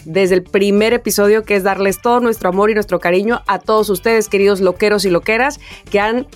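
A woman's voice: medium pitch, steady tone, fairly quick, faint music behind. A woman with a Mexican accent co-hosting a casual podcast, chatting in an affectionate, joking way. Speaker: podcast co-host. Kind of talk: casual chat among co-hosts.

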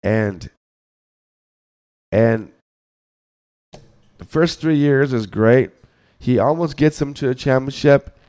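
A hoarse man, getting over the flu, hosts a podcast and delivers a blunt, scolding sports rant, often repeating words as he thinks. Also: medium pitch, dark tone. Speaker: podcast host.

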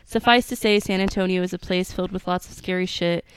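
Woman, podcast co-host, reads a scary story aloud, jokey asides, smooth clear voice. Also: fairly low pitch, fairly even intonation, quick pace, low-quality recording. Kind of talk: casual chat and story reading.

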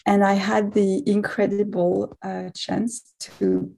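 A middle-aged woman from France, a physics professor speaking as a guest in a casual podcast interview, talking in a halting way with frequent restarts and self-corrections. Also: mid-range voice, flat delivery, vocal fry, mellow.

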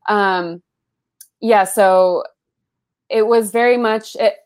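A woman's voice: mid-range voice, slow pace. Chatty young woman, Spanish her first language. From San Francisco Bay Area, US. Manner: chatty, full of "like" and "um", animated when recalling. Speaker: interview guest, writer. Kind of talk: casual conversational interview.

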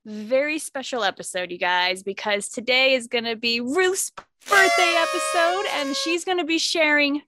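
A woman's voice: high-pitched, moderate pace, hardly any pauses, noisy background. A woman hosting a podcast, chatting in an upbeat, encouraging way and laughing easily. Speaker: podcast host. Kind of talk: casual chat.

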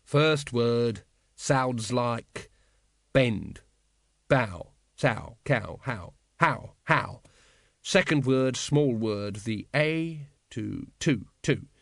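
A weary middle-aged watch captain, read by a male narrator in a storybook. He is cynical and dry, speaking hesitantly at a medium pitch. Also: lively intonation, slow pace, creaky, hardly any pauses, dry recording. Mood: weary, puzzled.

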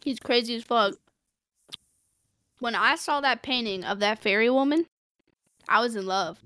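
A woman co-hosting a casual anime recap chat, expressive and blunt, swearing freely in slangy talk. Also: a little high, unhurried, clean tone, no room sound. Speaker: podcast co-host recapping an anime.

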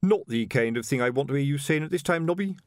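A man voicing a stout middle-aged male sergeant who speaks slowly with quiet menace. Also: very animated, dry recording, clean studio sound, close to an everyday voice. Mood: stern. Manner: slow, low, pointed.

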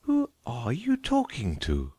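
Pompous, very animated king. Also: medium pitch, slow, slightly rough, no room sound, heavily acted. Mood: pompous, peevish. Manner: pompous, decided. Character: pompous, fussy, peevish storybook king. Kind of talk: full-cast audiobook acting.

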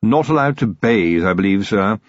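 A man voicing a polite, composed male butler who speaks in a measured, deferential way. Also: lowish voice, animated, no room sound, lightly acted. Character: calm, proper male butler. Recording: dull, dry, lo-fi recording.

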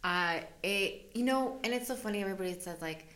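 A woman's voice: medium pitch, fairly quick, fairly bright tone. A woman from El Salvador, a business owner, talking candidly in an interview. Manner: candid, chatty, laughs often. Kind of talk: casual interview.

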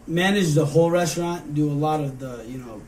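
A man's voice: on the high side, a little fast, darkish tone, distant mic, a little hiss or hum, poor audio. A man in his thirties with an American accent, giving casual, conversational answers as an interview guest. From Michigan, United States. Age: 30s. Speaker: interview guest, restaurant manager.

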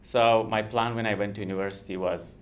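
Podcast guest: Iranian man, 30s, Kurdish first language, low voice, earnest, halting, laughs easily. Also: fairly even intonation. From Iran, Kurdistan region. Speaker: guest researcher. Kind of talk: podcast interview.

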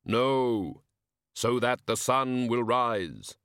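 A man voicing a solemn skeletal reaper in an audiobook, speaking slowly and weightily in a matter-of-fact way. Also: medium pitch, a bit bright, clean tone, dry recording, clean studio sound. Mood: solemn, insistent. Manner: slow, weighty, measured delivery.

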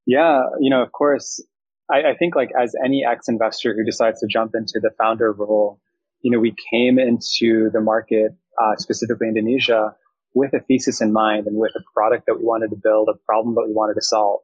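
Husky low-voiced man, muffled. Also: fairly even intonation, brisk, darkish tone, no echo. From Thailand. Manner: fluent, reflective, business jargon. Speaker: startup founder, guest. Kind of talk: interview answers.

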